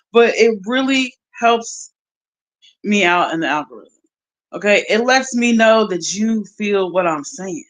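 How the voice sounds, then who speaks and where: A young adult woman, chatting casually on a livestream, recovering from strep throat, drawing words out with slang and loud bursts. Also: medium pitch, rough recording. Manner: slow, drawn-out talk with loud bursts and slang. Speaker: YouTube streamer.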